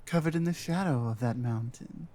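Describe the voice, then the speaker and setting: A man's voice: mid-range voice, moderate pace, mellow, lightly acted. A man playing an earnest, self-doubting Nordic guide and adventuring companion in a roleplay audio drama, musing hesitantly. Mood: cautious, deferential.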